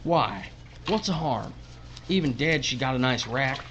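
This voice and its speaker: A man's voice: mid-range voice, lively intonation, unhurried, a bit bright. Cynical older male scavenger, played by an actor, folksy and needling; dull, noisy recording with ambience. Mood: leering, wistful. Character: crude, leering, cynical older male corpse-stripping worker called Jimmy. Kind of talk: audio drama acting.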